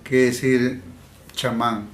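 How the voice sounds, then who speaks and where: Peruvian Amazon-accented male healer, Shipibo native, unhurried, roomy sound. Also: medium pitch, little pitch movement, a little hiss or hum. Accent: Peruvian Amazon Spanish. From Peru. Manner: halting, searching for words, many restarts. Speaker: shaman healer. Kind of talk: interview answers.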